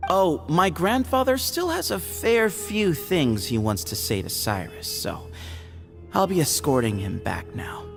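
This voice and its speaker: A man playing a shrewd, self-assured young heir to a temple leader, speaking in a measured, confident way. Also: a little high, sing-song, creaky, soft background music, exaggerated voice. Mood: cool, composed.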